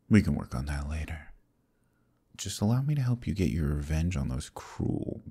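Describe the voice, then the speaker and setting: A man voicing an arrogant, scheming Demon King who taunts and menaces in an ASMR roleplay, often dropping to a husky whisper. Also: a bass voice, mellow, heavily acted. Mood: coaxing. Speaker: voice actor.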